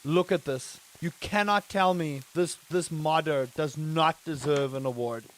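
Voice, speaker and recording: A streamer from England chats easily with his live audience while he plays. His voice sits a little high and swings up into excited exclamations, then drops back to a relaxed, running commentary. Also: a little slow. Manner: animated, rising to excited exclamations; chatty, addresses chat directly. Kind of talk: live-streamed gameplay chat.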